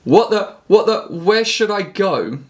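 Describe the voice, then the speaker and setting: A man's voice: sits high, very animated, a little slow. A man casually hosting an online quiz, addressing the contestants on a group call. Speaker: quiz host. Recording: clearly noisy mic with clicks.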